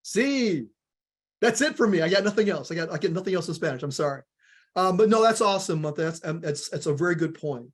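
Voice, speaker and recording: Energetic Southern man presenting a webinar. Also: high voice, brisk. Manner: energetic, repeats words, addresses audience as guys.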